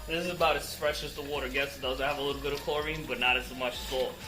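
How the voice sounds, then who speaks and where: A man's voice: medium pitch, fairly even intonation, quick pace, rough recording. Chatty man vlogging over music. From Puerto Rico. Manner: chatty, addresses viewers directly. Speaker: travel vlogger. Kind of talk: casual travel vlog.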